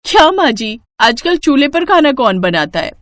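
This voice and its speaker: A woman voicing a haughty, scornful young rich daughter-in-law in an animated storybook tale, scolding and condescending. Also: sits high, normal speed, voice pushed up.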